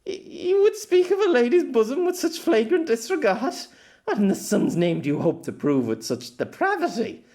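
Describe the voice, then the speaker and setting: A man's voice: very high voice, very animated, moderate pace, mellow, voice pushed up, exaggerated voice. A man voicing an easily flustered young warrior maiden who speaks in formal, archaic, theatrical phrases. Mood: indignant, flustered.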